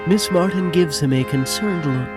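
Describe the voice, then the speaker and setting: A man's voice: fairly high pitch, moderate pace, over loud music. A man narrating the action of a scripted audio drama.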